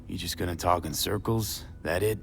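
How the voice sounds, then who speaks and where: A man's voice: low voice, steady tone, normal speed, creaky, faint music behind. An actor playing a hardened, weary male mercenary in a game cutscene, speaking in terse, sardonic, clipped lines. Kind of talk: video game cutscene acting.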